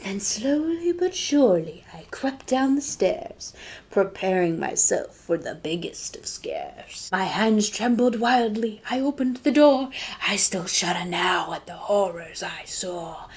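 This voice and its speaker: A woman reading a storybook aloud to children as a librarian, dramatic and dropping to hushed tones for suspense. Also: medium pitch, expressive, a little slow, breathy edge.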